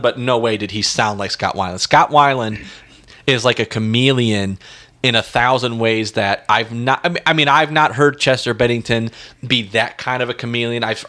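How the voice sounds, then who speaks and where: A man co-hosting a casual music discussion, halting and repeating himself for emphasis. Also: medium pitch, bright, forceful tone. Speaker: music podcast co-host.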